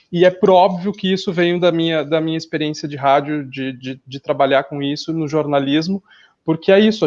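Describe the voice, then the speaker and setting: A man with a southern Brazilian accent speaks as a guest in a relaxed interview. His voice sits a little high for a man and he explains things informally, anecdote by anecdote. The audio is somewhat muffled and lo-fi. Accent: southern Brazilian, Porto Alegre. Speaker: interview guest, podcast creator. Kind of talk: casual conversational interview.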